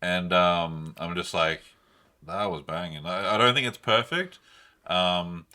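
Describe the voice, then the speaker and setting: A man's voice: sits low, measured pace, sharp tone. A man chatting casually as a podcast host, slangy and informal with some swearing. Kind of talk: casual chat.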